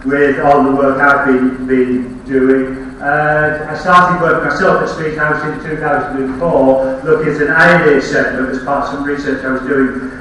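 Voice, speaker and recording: A man's voice: medium pitch, fairly even intonation, normal speed, hardly any pauses, a bit bright, slight background noise. A man with a Yorkshire accent giving a rambling lecture on his slides, with wry asides and frequent restarts, recorded from a distance in a lecture hall. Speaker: archaeology lecturer. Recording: very echoey hall, speaker off-mic.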